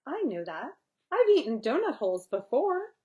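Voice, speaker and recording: A woman's voice: sits high, wildly swooping pitch, normal speed, a little mellow, pitched above the performer's own voice. A woman reading the lines of a friendly, easygoing young boy in a picture book. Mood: confident. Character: friendly young schoolboy, easygoing new kid. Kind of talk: picture-book read-aloud.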